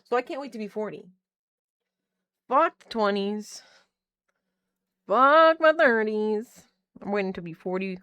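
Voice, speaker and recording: A woman's voice: medium pitch, expressive, measured pace, long pauses, bright, forceful tone, clean tone. A woman in her thirties chatting casually on a live stream, laughing often and reading chat aloud while she tinkers with a keyboard at home. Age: 30s. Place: indoors at home, working on a keyboard.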